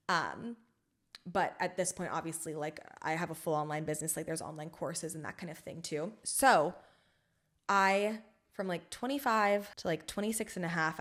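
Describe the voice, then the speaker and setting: A young adult woman hosting her own podcast, talking in a frank, rambling way full of swearing and filler words, with emphasis that swings between loud and soft. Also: on the low side. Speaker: podcast host. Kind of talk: casual solo podcast monologue.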